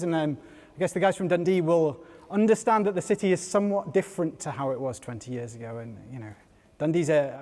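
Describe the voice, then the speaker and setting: A man with a softened Geordie accent, speaking as a panel guest in a measured, explanatory way with many ums. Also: fairly high pitch. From North East England. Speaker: panel guest, company head. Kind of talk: panel talk. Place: large hall with an audience. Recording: long hall reverb.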